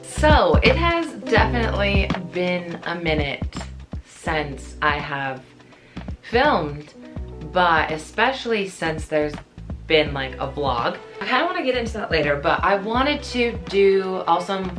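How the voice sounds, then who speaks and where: A young woman with a deep, raspy voice, a bit hoarse from a cold, chatting casually in a home vlog. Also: big pitch swings, slow pace, over music. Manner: chatty, rambling. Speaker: vlogger.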